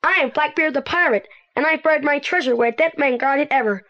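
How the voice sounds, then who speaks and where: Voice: sits high, brisk, muffled recording. A young performer playing a confident teenage boy detective in a children's audio play, eager and articulate.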